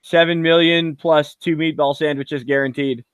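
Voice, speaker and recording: A high-pitched man recaps a football game on a casual podcast. He speaks in a close, dry recording. He is excitable and jokey and swears freely. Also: moderate pace, smooth, no room sound. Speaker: podcast co-host. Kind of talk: casual sports chat.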